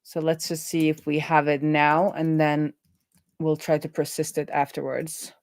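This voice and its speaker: Unhurried, low-key woman coding aloud. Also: level tone, a little mellow, no room sound, clean studio sound. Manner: halting, muttering to herself while working. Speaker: live-streaming programmer. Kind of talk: casual think-aloud coding commentary.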